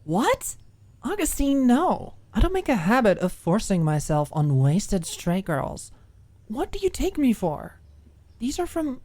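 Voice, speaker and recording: A woman voicing a cocky, mischievous female college student in an audiobook, blunt, sardonic and quick to get defensive. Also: on the high side, huge pitch swings, dark tone, no echo, pitched above the performer's own voice, heavily acted.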